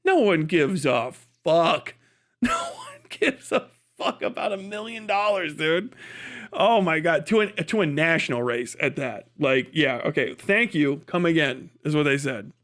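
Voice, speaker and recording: High-pitched man, emphatic livestream commentary. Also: very animated, a little slow, fairly bright tone. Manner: profane, emphatic, opinionated, repeats words for stress. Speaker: livestream host.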